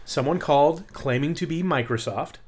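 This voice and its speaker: A man's voice: on the high side, moderate pace, breathy edge. A man co-hosting a podcast in casual chat, talking in a sarcastic, profane, ranting way. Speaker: podcast co-host.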